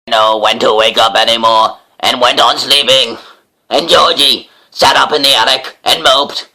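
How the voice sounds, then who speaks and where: A man giving a dramatic, theatrical reading of a children's story, with deliberate phrasing. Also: medium pitch, steady tone, pushed and bright. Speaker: storybook reader, host.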